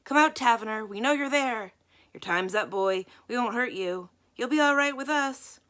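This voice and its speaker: A woman's voice: a little high, animated, moderate pace, a bit bright, pitched above the performer's own voice. A woman voicing a pushy, threatening male detective in a storybook, with a demanding, barking delivery. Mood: threatening, coaxing. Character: pushy, gloomy hired detective man, impatient and threatening.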